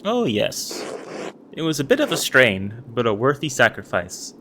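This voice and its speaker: A man reading a gentle, pious monk singer from a narrative game, soft-spoken and humble. The pitch swings freely, and a clear hiss of noise sits underneath. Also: huge pitch swings, measured pace. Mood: mild.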